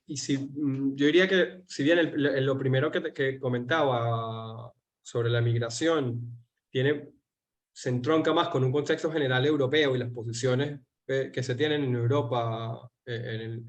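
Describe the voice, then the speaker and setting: A Venezuelan man hosting a political interview, talking in a measured way and pausing to search for words. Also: mid-range voice. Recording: dull lo-fi sound.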